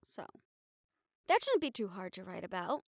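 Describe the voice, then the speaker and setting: A woman's voice: mid-range voice, very animated, normal speed, gravelly, no room sound, studio quality. A woman acting a weary, sardonic, stubborn young newspaper reporter in an intimate audio roleplay, talking in a casual, wry, sweary way. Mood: determined, scornful.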